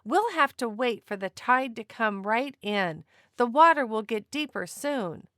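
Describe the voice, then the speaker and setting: A woman plays a kind, helpful beachgoer in a children's storybook. The voice is high and lively, emphatic and reassuring, recorded dry. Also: a little slow, clean tone, no echo. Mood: explaining.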